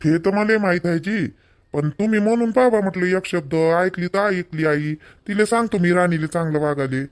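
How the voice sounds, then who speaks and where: Man playing a high-pitched, opinionated village son. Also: normal speed, lightly acted. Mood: concerned. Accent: Vidarbha Marathi. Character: opinionated, protective young married village son. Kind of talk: homemade cartoon family dialogue.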